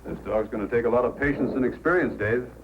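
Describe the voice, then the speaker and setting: An actor playing a calm, fair-minded Mountie sergeant in an old-time radio drama, measured and conciliatory. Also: male voice, mid-range voice, lightly acted. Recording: muffled old radio recording, faint noise.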